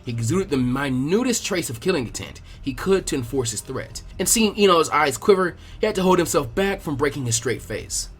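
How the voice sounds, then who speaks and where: A man narrating a fan fiction story as a scripted, expressive reading. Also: on the high side, brisk, few pauses. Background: background music.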